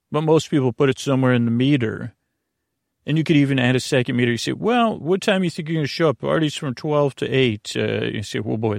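A man with a creaky voice hosting a sleep podcast in a meandering, halting monologue that often interrupts itself. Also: mid-range voice, no room sound, studio quality. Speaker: podcast host.

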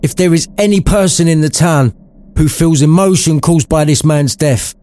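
A man's voice: a little high, normal speed, mellow, no room sound, voice pushed up, natural voice. A man voicing a frightened old male miser who pleads in agony, as a storybook character. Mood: pleading, agonized. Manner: agonized, pleading.

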